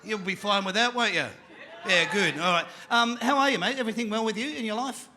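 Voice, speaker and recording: A man with an Australian accent hosting a live panel show, energetic and jokey, calling out to a crowd in the venue. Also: high-pitched, a little fast, a little hiss or hum.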